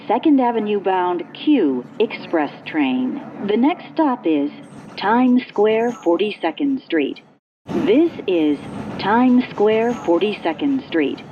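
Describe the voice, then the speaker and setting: A woman reading scripted train stop announcements in a formal, measured way, with pieced-together phrasing. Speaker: transit announcer. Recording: muffled recording with rumbling train noise. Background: subway train ambience.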